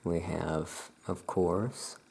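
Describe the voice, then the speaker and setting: A soft-spoken ASMR host in a calm, unhurried shopkeeper roleplay, leaving long pauses between phrases. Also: sits low, creaky. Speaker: ASMR host playing a Salem witch shopkeeper.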